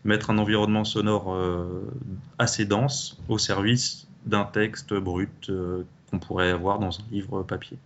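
A man's voice: on the low side, flat delivery, a little slow, highs cut off, slight background noise. A man, an audio drama creator answering casually as an interview guest.

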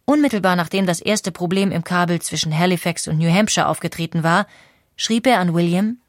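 A woman narrating a scripted history documentary with clear, even storytelling and rhetorical questions. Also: lowish voice, fairly quick, no echo, studio quality.

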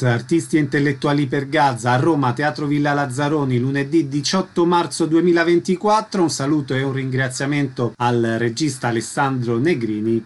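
A man hosting a radio show, reading introductions and putting short questions to guests. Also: mid-range voice. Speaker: radio interviewer and host.

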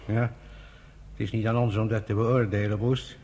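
Husky, urbane senior official. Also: male voice, lowish voice, flat delivery, darkish tone. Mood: pragmatic. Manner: urbane, deliberate, confiding. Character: pragmatic, avuncular senior British official, weary of politics. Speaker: actor in a radio drama. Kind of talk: Dutch radio play acting.

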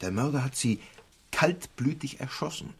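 An actor playing a shrewd, measured provincial police commissioner in a radio crime drama, deliberate and pointed. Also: male voice, medium pitch, animated, creaky, a bit bright, breathy edge, exaggerated voice. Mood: grave, pointed.

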